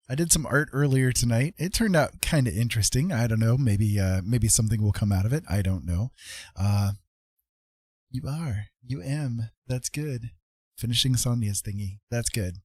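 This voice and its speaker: A man hosting a casual livestream, chatting to viewers in a rambling, playful, husky voice. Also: medium pitch, moderate pace, darkish tone, no echo, clean studio sound. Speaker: livestream host. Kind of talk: casual chat to live viewers.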